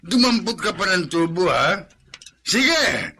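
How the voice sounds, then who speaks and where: A man's voice: high voice, big pitch swings, takes it slowly, gravelly, background noise, full character voice. An actor in a Filipino radio drama playing an aggressive, taunting neighborhood tough guy with a Filipino accent. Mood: violent threats. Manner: swaggering, threatening, taunting.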